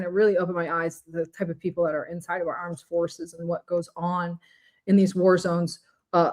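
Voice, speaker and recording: A woman's voice: on the low side, darkish tone. A woman co-hosting a casual political talk show, bubbly and enthusiastic, often laughing. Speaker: co-host.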